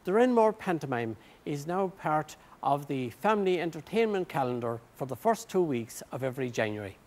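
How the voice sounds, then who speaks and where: A man's voice: a little high, sing-song, normal speed, a little mellow. An Irish man in his sixties reading scripted documentary narration in a promotional, flowery style.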